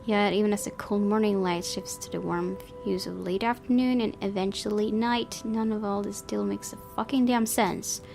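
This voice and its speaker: A woman's voice: medium pitch, steady tone, normal speed, gravelly, hardly any pauses, music in the background. A woman hosting a gaming video, reading visual novel narration aloud and commenting on it.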